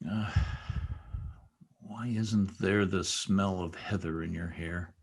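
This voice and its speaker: A man plays a vengeful, tormented master in a gothic radio melodrama. His voice sits fairly low and he draws his words out slowly. The delivery is sardonic, brooding and theatrical. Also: steady tone, creaky, husky, exaggerated voice. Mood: brooding, bleak. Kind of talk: old-time radio acting.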